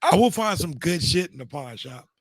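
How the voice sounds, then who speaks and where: A man's voice: on the high side, very animated, normal speed, dry recording. A middle-aged man losing his voice, chatting casually as a show host and rambling through his stories with many restarts. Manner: rambling, frequent restarts and fillers. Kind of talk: casual chat.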